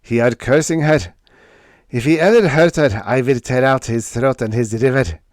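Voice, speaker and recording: A man's voice: medium pitch, normal speed, no echo, studio quality, voice pushed up, lightly acted. A man voicing a fierce, loyal alien creature with menacing, broken speech in a story reading. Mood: menacing fury.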